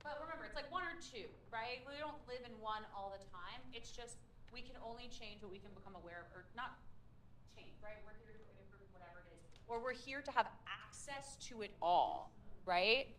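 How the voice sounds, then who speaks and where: A woman's voice: fairly high pitch, fairly quick, fairly bright tone, a little hiss or hum, low-quality recording. A woman leading a public speaking workshop, upbeat and chatty with plenty of fillers. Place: meetup room with a group.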